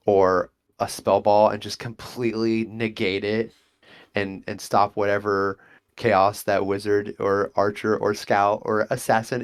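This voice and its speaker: Low-voiced enthusiastic male interview guest. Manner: enthusiastic, rambling, acts out quotes. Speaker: guest, monk class player. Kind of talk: casual interview chat.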